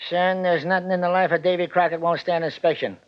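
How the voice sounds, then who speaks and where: A high-pitched, folksy little cowboy braggart played by a man in a 1940s radio play. He boasts cockily and talks down to his listener. The sound is muffled and vintage. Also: steady tone, quick pace, lightly acted. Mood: cocky. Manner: folksy, bragging, calls others son and laddie.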